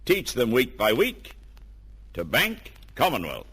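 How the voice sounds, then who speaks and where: A man's voice: medium pitch, huge pitch swings, slow. An Australian man announcing on vintage radio, delivering a scripted sponsor message. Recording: old radio recording with music.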